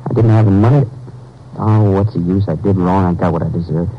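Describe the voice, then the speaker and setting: A man's voice: low-pitched, brisk, slight background noise, close to an everyday voice. An actor playing a frightened, remorseful American prisoner in his early twenties, hesitant and polite, in 1950s radio drama style. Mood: reluctant, remorseful. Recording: muffled old 1950s radio recording.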